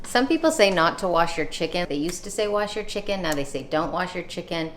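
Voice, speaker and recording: A woman's voice: on the low side, lively intonation, a little fast. Chatty woman in an echoey kitchen. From Canada. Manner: chatty, confident, playful asides. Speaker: vlogger. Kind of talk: casual chat while cooking. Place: home kitchen. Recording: echoey kitchen, faint noise.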